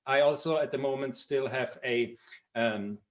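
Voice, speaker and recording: A man's voice: mid-range voice, measured pace. A middle-aged man from Germany with a German accent, giving an academic seminar lecture with frequent fillers and restarts. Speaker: professor giving a seminar talk.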